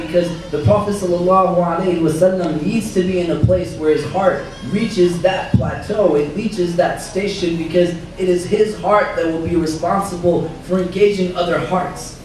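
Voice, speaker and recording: A hoarse man speaks as an imam at a dinner, measured and reflective, reciting Arabic passages. His slightly high voice sounds distant in a very roomy hall, with faint noise behind him. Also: steady tone, a little mellow. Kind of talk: religious talk at a dinner. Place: banquet hall.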